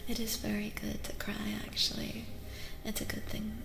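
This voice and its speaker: A woman hosting an ASMR tarot livestream, speaking softly and gently, often dropping into a breathy whisper and repeating phrases soothingly. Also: mid-range voice, completely flat delivery, normal speed. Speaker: livestream host, tarot reader. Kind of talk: soft-spoken ASMR livestream chat with tarot reading. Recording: reverberant echo with music underneath. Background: music.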